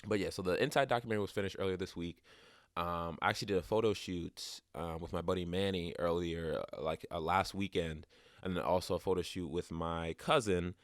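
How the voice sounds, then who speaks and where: A man's voice: low-pitched, fairly even intonation, a little fast, no echo, clean studio sound. A young man hosting his own podcast, talking casually and rambling with restarts, a little stuffed up and sniffly. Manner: rambling, many restarts and fillers. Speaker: podcast host. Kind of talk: casual solo podcast talk. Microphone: close podcast mic.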